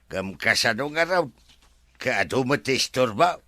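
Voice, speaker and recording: A man with an Ilocano accent playing a deferential, excitable resort workman in a radio drama, stammering and full of exclamations. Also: medium pitch, measured pace, no echo. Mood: explaining.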